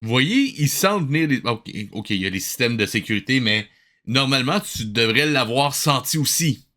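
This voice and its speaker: Bright, forceful male livestream host. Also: mid-range voice, animated, moderate pace. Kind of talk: casual livestream reaction commentary.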